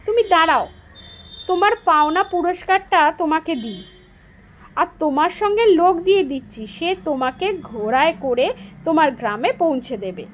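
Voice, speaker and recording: Woman playing an excitable, high-pitched storybook king. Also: moderate pace, muffled recording. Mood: generous, insistent. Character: excitable, generous fatherly old-fashioned king.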